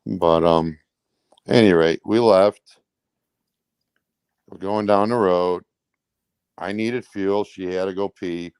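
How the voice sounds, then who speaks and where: A man with a very low voice and a clear, smooth tone speaks casually as an interview guest. He recounts things in a blunt, rambling, everyday way, sometimes coarse in his wording. The sound is close and dry, with very little room in it. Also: steady tone, slow, long pauses, darkish tone, no echo. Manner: rambling, blunt, colloquial with swearing. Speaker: truck driver. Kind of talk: casual recounting of personal events.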